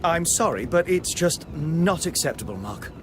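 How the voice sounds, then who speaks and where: An actor playing a proper, earnest gentleman in a mystery game, polite but easily flustered. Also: on the high side, expressive, normal speed, noisy background, natural voice. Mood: indignant. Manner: earnest, polite, hesitant under questioning. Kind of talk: video game voice acting.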